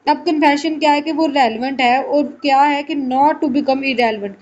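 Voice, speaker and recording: A woman's voice: high-pitched, a little mellow. A woman lecturing on law, reading out formal text and explaining it conversationally. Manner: switches between slow English reading and conversational Urdu explanation. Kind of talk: explanatory lesson, reading out statutes and glossing them. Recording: muffled lo-fi audio with faint noise.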